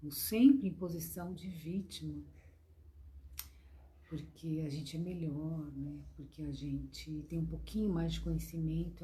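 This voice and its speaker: A hoarse woman with a Brazilian accent teaching a devotional spiritist lesson in a gentle, repetitive way, often addressing her listeners affectionately. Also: low voice, poor audio. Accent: Brazilian Portuguese. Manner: gentle, repetitive, calls listeners "gente" and "meus amores". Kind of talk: devotional lesson to an online class.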